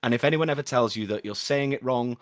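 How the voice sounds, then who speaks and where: A man's voice: medium pitch, fast, no room sound, clean studio sound. A man chatting casually, earnest and emphatic about what he says. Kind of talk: casual, heartfelt chat. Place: live online group stream.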